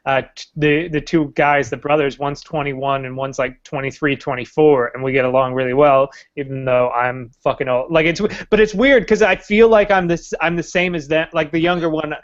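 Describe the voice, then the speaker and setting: A man's voice: on the high side, highs cut off. A man in his late twenties, co-hosting a podcast, telling jokey, profane stories with laughs. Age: late 20s. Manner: joking, laughing, profane storyteller. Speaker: podcast co-host. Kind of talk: casual chat.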